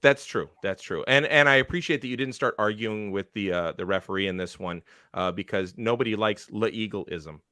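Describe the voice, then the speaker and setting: A man's voice: fairly low pitch, a little fast, few pauses, bright, forceful tone, no room sound, studio quality. A man competing in a pun contest, teasing and playful, over a video call. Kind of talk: quick-fire pun banter.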